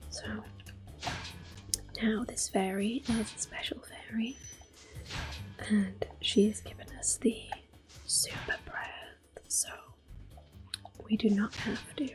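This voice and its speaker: A woman giving gentle, soft-spoken ASMR gameplay commentary in a breathy, unhurried whisper. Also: mid-range voice. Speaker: gameplay host.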